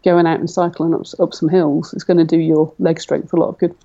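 Woman, forties, gravelly and flat-toned, muffled lo-fi line; relaxed interview guest who thinks aloud. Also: low voice, a little fast. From Britain. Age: 40s. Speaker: guest athlete. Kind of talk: casual conversational interview.

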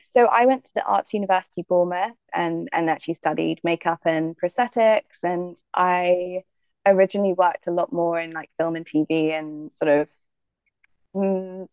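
Gravelly low-voiced woman athlete. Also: dry recording, muffled recording. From Jamaica. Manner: relaxed, thoughtful, many fillers. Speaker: guest athlete. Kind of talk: interview answers.

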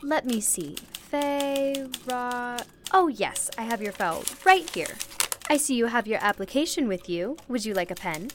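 Radio play: cheerful female receptionist, acted by a woman, animated delivery, faint noise behind. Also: a little high, measured pace. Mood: cheerful, helpful. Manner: bubbly, gracious. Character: cheerful, welcoming female front-desk receptionist. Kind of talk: old-time radio play acting.

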